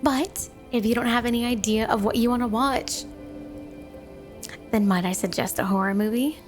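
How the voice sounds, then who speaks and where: Woman voicing a yandere snow woman, highish pitch, coy, affectionate, clingy, ASMR roleplay, faint music underneath. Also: fairly even intonation, close to an everyday voice. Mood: flirty, coy. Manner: sugary, coy, affectionate, unhurried. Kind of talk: ASMR romantic roleplay, addressed to the listener.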